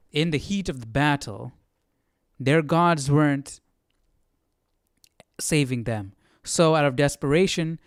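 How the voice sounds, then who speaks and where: A man with a smooth, clear, mid-pitched voice talks through a study passage informally. He is reflective and a little hesitant as he reasons aloud, recorded close and dry. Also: dry recording. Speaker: live Bible study host.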